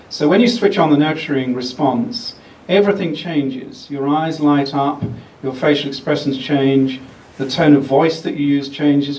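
An older man giving a public lecture, speaking in a measured, explanatory way with frequent pauses. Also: mid-range voice, a little mellow, a little hiss or hum. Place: large lecture theatre. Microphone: podium mic, then handheld. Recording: echoey hall picked up from a distance.

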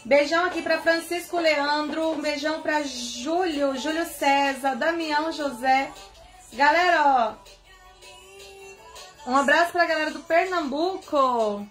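Young woman, high voice; affectionate live-stream host shout-outs, phone mic, soft music under. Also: a little slow. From Bahia, Brazil. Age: young adult. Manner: chatty and affectionate, greeting viewers by name. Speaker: singer hosting a live stream. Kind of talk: casual live-stream chat. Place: bedroom indoors, seated on bed. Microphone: phone camera at arm's length.

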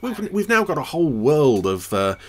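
A man's voice: fairly high pitch, very animated, normal speed, background noise. A man giving casual, wry, jokey commentary on a TV episode as a podcast host.

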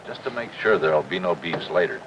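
An actor playing a cynical, world-weary, money-minded middle-aged deep-sea diver, wisecracking in a 1950s television crime drama. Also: male voice, lively intonation, brisk, fairly bright tone, muffled recording, slight background noise. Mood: sardonic. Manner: sardonic, wisecracking.

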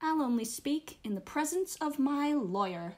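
A woman's voice: high-pitched, animated, normal speed, noisy background. A woman voicing a cheeky, dramatic, moody thirteen-year-old girl in a comic fandub. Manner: cheeky, dramatic, musing to herself.

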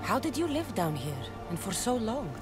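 A woman's voice: on the high side, very animated, moderate pace, over music. An actress playing a determined young female warrior in a video game, asking direct, pressing questions. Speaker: game character.

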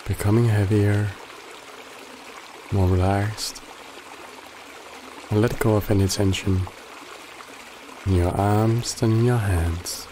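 A man guiding a body scan meditation in a calm, gently instructive way with long pauses. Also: fairly low pitch, background noise. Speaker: meditation guide. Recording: loud running water behind the voice. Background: trickling stream water ambience.

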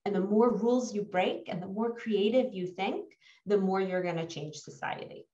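A woman's voice: mid-range voice, moderate pace, dark tone. A woman with a New York American accent, an executive coach presenting a webinar in an emphatic, self-assured way. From New York, United States. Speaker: executive coach leading a workshop. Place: indoor room on a video call. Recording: dull, roomy, distant webinar audio.

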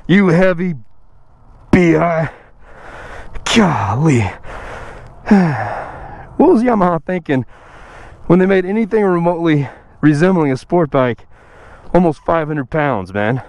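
A man chatting casually while riding his motorcycle, his voice a bit rough from recovering after losing it. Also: on the high side, expressive, slow, long pauses, darkish tone, no echo. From Texas. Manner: chatty, self-joking, sighs and laughs. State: recovering from lost voice. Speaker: motovlogger. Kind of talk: casual motorcycle vlog chat. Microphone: helmet-mounted action camera mic. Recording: outdoor wind and road noise.

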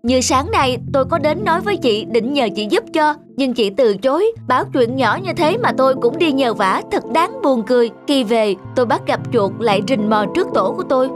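High-voiced anxious little mother bird. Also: sing-song, a little fast, hardly any pauses, over music. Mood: earnest, defensive. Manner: pleading, earnest. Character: small, anxious, earnest mother songbird. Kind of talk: cartoon animal fable acting.

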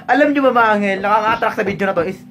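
A young man in his late teens with a Filipino accent, hosting a playful vlog and mixing English with Tagalog in an excitable, teasing way. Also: high-pitched, racing, muffled recording, music in the background. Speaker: YouTuber host. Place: small bedroom, indoors. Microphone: handheld phone camera at arm's length.

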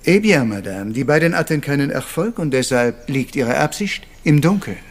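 An actor playing a vain, fussy middle-aged detective in a radio crime drama, formal and theatrical. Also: male voice, medium pitch, expressive, normal speed. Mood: courteous, probing. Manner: formal and theatrical, sprinkled with French courtesies.

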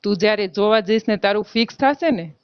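A woman's voice: mid-range voice, fast, clean tone, dry recording, close to an everyday voice. A woman playing an aggrieved married village woman in a phone-call drama, with a Gujarati accent, insistently accusing and threatening her lover. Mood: bitter threatening. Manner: insistent, reproachful, argumentative. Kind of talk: staged phone-call drama in colloquial speech. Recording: dull, lo-fi phone call recording.

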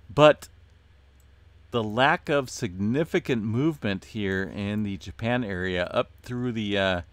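A man hosting an earthquake update, talking casually through his screen with many fillers and a voice going hoarse. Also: fairly low pitch, slow, dry recording. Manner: relaxed, many "uh" fillers, addresses viewers as folks. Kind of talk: casual screen-share commentary.

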